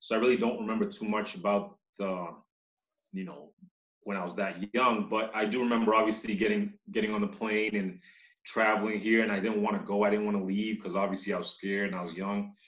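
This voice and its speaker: A man from Uganda who moved to the US as a child, talking casually as an interview guest, halting and repeating words. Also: lowish voice, little pitch movement, fairly bright tone. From Uganda, moved to Massachusetts as a child. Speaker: interview guest, company CEO. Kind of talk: casual conversational storytelling. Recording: echoey room, dull muffled sound.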